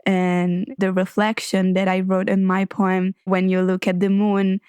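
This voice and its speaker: Young woman guest, Italian first language, earnest and even, smooth voice, little pitch movement. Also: dry recording. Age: 20s. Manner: measured, earnest. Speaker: guest poet.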